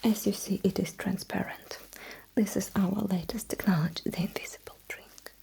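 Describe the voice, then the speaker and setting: A woman playing a calm, attentive alien battlesuit technician in a hushed, breathy ASMR sci-fi roleplay, giving slow and deliberate instructions. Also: mid-range voice, rough recording. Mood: calm, explaining.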